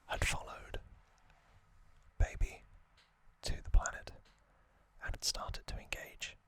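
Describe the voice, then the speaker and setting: Slow, crisp-edged whispering ASMR host. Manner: hushed whisper with slow, halting delivery in short phrases. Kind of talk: whispered ASMR trivia recap.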